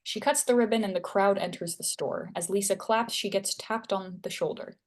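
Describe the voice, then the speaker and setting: Casting: female narrator, medium pitch, scripted read of action lines at a table read. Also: normal speed. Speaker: screenplay narrator. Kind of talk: reading stage directions at a table read.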